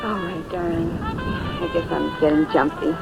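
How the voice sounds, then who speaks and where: An actress playing a jumpy, anxious young newlywed wife, fretful and pleading, in mid-century radio drama style. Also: female voice, low-pitched, fairly even intonation, measured pace, vocal fry. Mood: uneasy. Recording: dull vintage radio recording, music behind.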